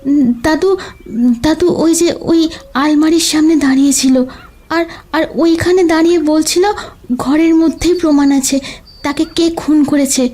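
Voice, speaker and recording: A woman acts out a little girl with a high, soft mellow voice. She speaks slowly and hesitantly, doubling back on words with innocent earnestness, as in an audio story. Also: fairly even intonation. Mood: earnest, hesitant. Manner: halting, repeats words as she explains. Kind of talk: audio drama acting.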